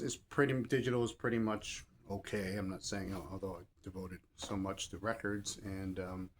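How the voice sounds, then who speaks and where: A man giving a casual, off-the-cuff review as a shop host, rambling and pausing mid-thought to rephrase. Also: mid-range voice. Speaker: record shop seller and host. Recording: clear background noise and ambience.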